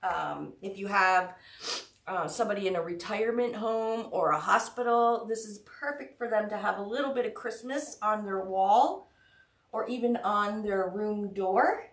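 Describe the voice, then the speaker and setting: A woman's voice: mid-range voice, moderate pace, recorded from a distance. A woman with a head cold hosting a live craft tutorial, chatty and greeting viewers by name. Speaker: craft shop host. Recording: roomy sound, mic set back.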